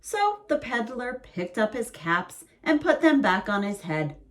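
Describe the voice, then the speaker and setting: A woman reading a picture book aloud as a bedtime story, in a slow, soothing, expressive way. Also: on the high side.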